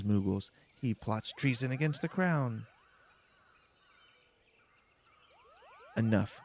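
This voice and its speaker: A man's voice: fairly low pitch, creaky, a little mellow, a little hiss or hum. A man voicing a small, indignant fantasy game creature that makes righteous, declamatory accusations. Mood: scornful.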